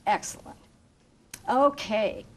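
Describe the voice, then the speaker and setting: A woman with a slightly high voice reads a picture book to young children. She takes her time, falling into an expressive sing-song chant and drawing the listeners in as a teacher would. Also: takes it slowly. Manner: asks listeners questions like a teacher. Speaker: volunteer story reader for children.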